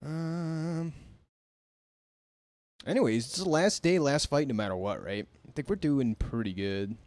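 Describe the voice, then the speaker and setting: A man's voice: fairly high pitch, unhurried, darkish tone, dry recording. An American man chatting casually on a live gaming stream, laid-back and laughing often as he reads chat. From United States. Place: home near a big city.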